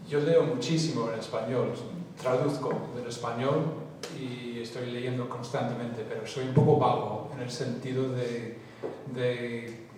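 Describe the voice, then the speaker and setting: Slow native English speaker in a hall. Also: male voice, mid-range voice, darkish tone. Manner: slow and reflective, with restarts. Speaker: invited poet and translator. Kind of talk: unscripted answers in a public talk. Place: large hall with an audience. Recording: echoey hall from far off, faint hiss.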